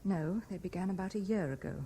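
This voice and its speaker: A woman's voice: on the low side, fast, warm tone, close to an everyday voice. An actress with a British accent playing a wistful, dreamy young married Frenchwoman in a 1970s radio drama. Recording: vintage radio recording with faint hiss.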